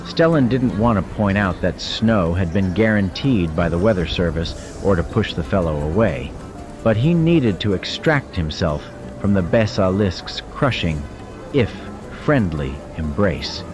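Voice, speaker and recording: A man with a very deep voice narrates fiction dramatically, as in a cinematic audiobook. Prominent music runs behind his scripted reading. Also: sing-song, unhurried.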